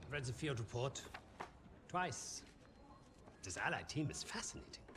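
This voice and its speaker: An actor with a German accent playing an arrogant, bookish Nazi officer, speaking formally and deliberately with menacing politeness. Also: male voice, medium pitch, lively intonation, background noise, lightly acted. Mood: intrigued. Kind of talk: scripted game cutscene dialogue. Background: music.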